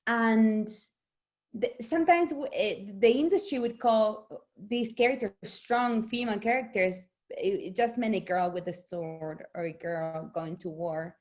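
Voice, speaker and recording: A middle-aged woman from Argentina, a native Spanish speaker, talking as an author guest in an interview, animated and laughing often. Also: medium pitch, a little slow. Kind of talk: conversational interview.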